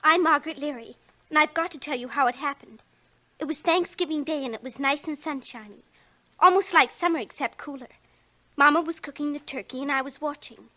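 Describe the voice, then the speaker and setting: High, bright, earnest little girl. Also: fairly quick. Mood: earnest, suspenseful. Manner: breathless, pleading, childlike. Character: earnest, desperate little girl telling her scary story. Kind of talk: 1940s radio acting. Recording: 1940s radio broadcast with music.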